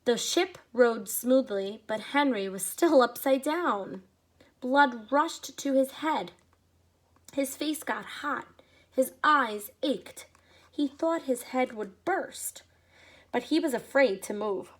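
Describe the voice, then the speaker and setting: A woman teacher reads a children's picture book aloud, taking her time over each phrase. Her voice sits a little high. She pauses now and then for brief asides that explain the story to young listeners. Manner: slow, expressive reading with short asides explaining to children.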